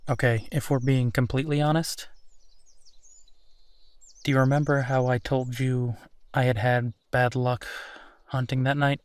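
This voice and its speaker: A man playing a gentle, embarrassed werewolf boyfriend in an intimate roleplay audio drama, speaking hesitantly and self-deprecatingly. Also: medium pitch, lightly acted. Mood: sheepish, regretful. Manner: hesitant, self-deprecating, sighing, soft chuckles.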